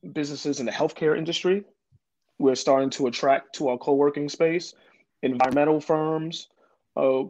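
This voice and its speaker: A man's voice: mid-range voice, darkish tone. A man, a guest in an interview, answering earnestly with many fillers and restarts. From Jamaica, Queens, New York. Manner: earnest, lots of ums and restarts. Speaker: development corporation president, guest. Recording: dull lo-fi audio.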